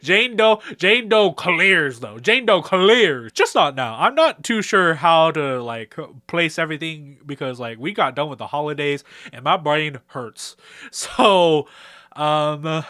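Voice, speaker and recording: A young man with a very clear, fairly high voice rambles through casual fan commentary on a game. His delivery is excitable and full of slang, and he slips into character lines. The sound is dry and close, with a bright edge. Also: slow, dry recording. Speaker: video creator.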